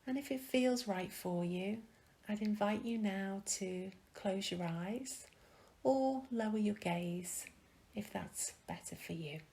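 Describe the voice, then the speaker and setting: A woman leading a guided meditation in a soft, breathy, soothing manner with gentle pauses. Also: mid-range voice.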